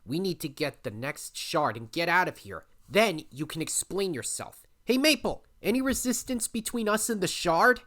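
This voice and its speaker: A woman's voice: lowish voice, lively intonation, normal speed, few pauses, fairly bright tone. A woman voicing a plucky, brash and loyal young male raccoon adventurer in an audio drama. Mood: bold.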